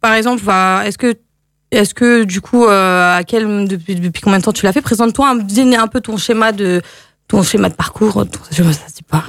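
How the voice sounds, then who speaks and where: A woman's voice: medium pitch, fairly quick, no room sound. A woman hosting a casual radio talk show from a studio, chatty and stumbling, often hesitating over her words. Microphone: studio microphone.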